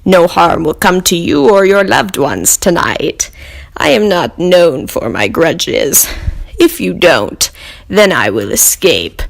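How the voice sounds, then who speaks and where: A woman voicing a proud captive demon who taunts and threatens slowly and deliberately in a dramatic monologue. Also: fairly low pitch, big pitch swings, creaky, exaggerated voice. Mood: quiet menace. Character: menacing bound demon, taunting, patient and proud.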